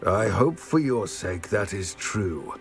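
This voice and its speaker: A man's voice: on the low side, expressive, vocal fry, soft background music, full character voice. An actor playing a proud, wary male creature guardian who speaks sternly and with menace. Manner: stern, slow and threatening. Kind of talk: video game voice acting.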